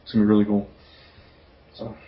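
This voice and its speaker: A man's voice: fairly low pitch, completely flat delivery, fairly quick. A man hosting a podcast in casual chat, talking in an informal, rambling way. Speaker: podcast host. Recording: dull, lo-fi podcast audio.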